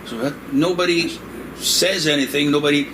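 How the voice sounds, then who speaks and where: A man's voice: fairly high pitch, fairly even intonation, moderate pace. Older man scholar, joking, noisy room. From Indonesia. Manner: digressive, joking, laughs often. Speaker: Islamic scholar answering questions. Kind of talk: conversational religious discussion. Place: room in Saudi Arabia. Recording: noisy room with air conditioning hum.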